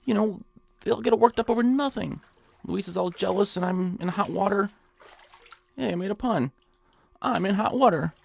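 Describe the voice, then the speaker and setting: An actor playing a nervous, hapless hypochondriac in an audio drama, fretful and stammering. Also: male voice, high-pitched, moderate pace, creaky, darkish tone. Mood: self-pitying, whiny. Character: nervous, whiny nebbish hypochondriac man, eager and hapless. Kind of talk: audio drama acting in old-time radio suspense style.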